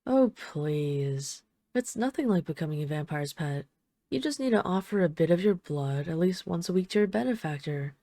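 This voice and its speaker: Low, mellow flirtatious vampire lady played by a woman. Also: no room sound, clean studio sound. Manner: teasing, coaxing, deliberate with lingering pauses. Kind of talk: intimate audio roleplay addressed to the listener.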